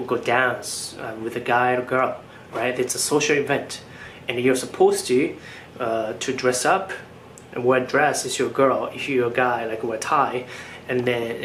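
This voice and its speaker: A man's voice: mid-range voice, a bit bright. A young man from Japan with a Japanese accent, talking casually to camera as a vlogger, full of fillers and restarts. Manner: hesitant, many fillers and restarts. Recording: echoey room, faint background noise.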